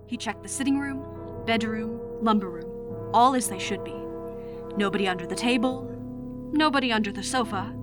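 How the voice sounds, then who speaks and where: A woman narrates a radio drama in a fairly high voice. Her scripted storytelling plays out over clear background music.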